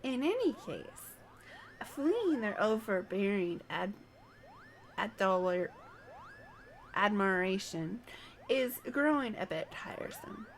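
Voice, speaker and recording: A woman's voice: mid-range voice, huge pitch swings, takes it slowly, pauses often, soft background music, heavily acted. A woman voicing a vain, haughty little fluffy moogle in a video game, theatrical and self-admiring. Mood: weary, haughty.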